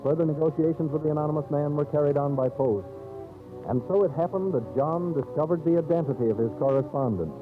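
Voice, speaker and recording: Vintage 1930s radio narrator, thin band-limited sound. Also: male voice, fairly high pitch. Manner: solemn, theatrical, measured storyteller delivery. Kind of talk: 1930s dramatic radio storytelling, scripted narration. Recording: old scratchy 1930s radio, narrow phone-like band. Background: dramatic background music.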